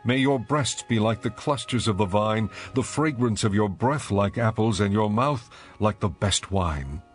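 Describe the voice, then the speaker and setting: A man playing an adoring lover in a dramatized scripture reading, speaking in measured, reverent, poetic phrases. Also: lowish voice, faint music behind, close to an everyday voice. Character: adoring male lover praising his bride, ancient royal poetry.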